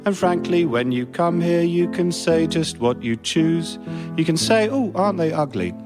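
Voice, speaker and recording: A man's voice: a little high, expressive, normal speed, over music, lightly acted. A British man playing a long-suffering, resigned zookeeper, singing a lilting comic song. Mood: weary, exasperated.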